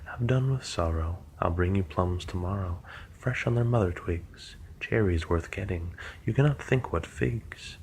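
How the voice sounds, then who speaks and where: Man voicing a longing young maiden, hushed half-whisper, deep voice, storybook. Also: measured pace, hardly any pauses, a little hiss or hum, rough recording. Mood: eager dreamy. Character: eager dreamy young woman, craving fruit.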